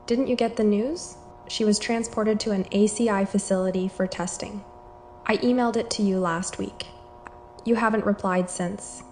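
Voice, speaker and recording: A woman's voice: medium pitch, fairly even intonation, normal speed, darkish tone, slight background noise, close to an everyday voice. A woman playing a polite, concerned hospital doctor in an amateur horror drama, speaking matter-of-factly.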